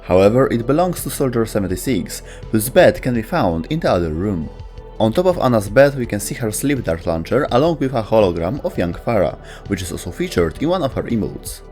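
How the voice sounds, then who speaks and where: Lively-pitched man narrating game lore. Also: a little fast. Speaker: video narrator. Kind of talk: scripted lore explainer narration. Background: music.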